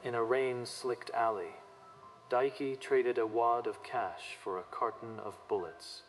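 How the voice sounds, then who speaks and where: A man's voice: medium pitch, fairly even intonation, a little slow, a little hiss or hum, low-quality recording. A man narrating a dramatic manga story from a script.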